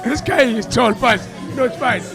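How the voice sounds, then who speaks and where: A South African man with a gravelly voice, an actor chatting as an interview guest, laughing and repeating words as he thinks. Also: very high-pitched, animated, normal speed, music in the background. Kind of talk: casual interview chat.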